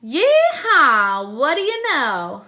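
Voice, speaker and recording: Woman doing an exuberant, high little cowboy. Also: wildly swooping pitch, very slow, full character voice. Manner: exuberant, loud, whooping. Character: excitable little man, reformed thief turned would-be cowboy.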